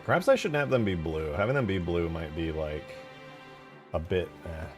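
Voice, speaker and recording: Male streamer, slightly deep voice, loose slangy chat, excitable, faint music underneath. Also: moderate pace. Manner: informal, slangy, swearing, easily excited. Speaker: live streamer and game modder. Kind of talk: casual livestream chat while demonstrating game mod work.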